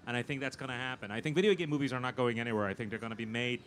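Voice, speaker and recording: A man's voice: mid-range voice, rapid-fire, fairly bright tone. A man answering as a film producer on a panel, conversational and emphatic, repeating words as he makes his points. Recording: live event floor with background noise.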